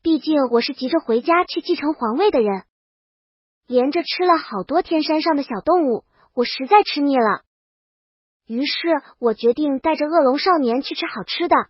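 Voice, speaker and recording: High-pitched woman narrating a comic story. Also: expressive, normal speed, dry recording, lo-fi sound. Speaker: audiobook narrator. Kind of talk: comic scripted first-person story narration.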